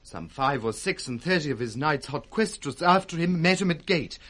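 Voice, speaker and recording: A man's voice: on the high side, lively intonation, normal speed, a bit bright. A man playing a dutiful steward, reporting formally in 1960s Shakespearean radio drama. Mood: dutiful, urgent. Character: brisk, dutiful male steward reporting news.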